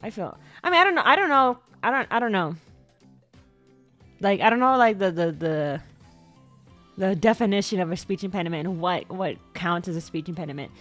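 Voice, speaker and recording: A woman's voice: expressive, brisk, fairly bright tone. Rambling young woman livestreamer. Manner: rambling, repeats words, sudden squeaky jumps in pitch; stumbles over words. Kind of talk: casual stream chat. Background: faint background music.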